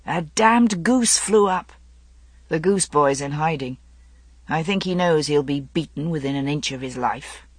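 A woman voicing an anguished, weary young king with a tender, halting manner in a storybook reading. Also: low voice, husky, dry recording. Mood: distraught. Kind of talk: dramatic audiobook storytelling.

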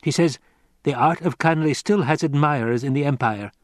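A man's voice: a little high, normal speed, no room sound, clean studio sound, voice pushed up, lightly acted. A man voicing a slender, effeminate assassin advisor in an audiobook, sly and mocking, with a sweet, musical tenor and lilting delivery. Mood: mocking recital.

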